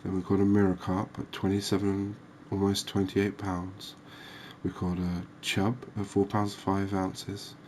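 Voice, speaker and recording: A man giving relaxed, unhurried, musing ASMR-style gameplay commentary, chatting to his viewers. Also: low voice, a little hiss or hum. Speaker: host of a cozy fishing game channel.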